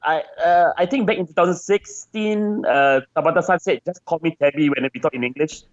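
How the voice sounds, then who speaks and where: A man's voice: on the high side, a little fast, lo-fi sound. A man being interviewed as a game designer, talking casually and chattily, often repeating words as he searches for phrasing.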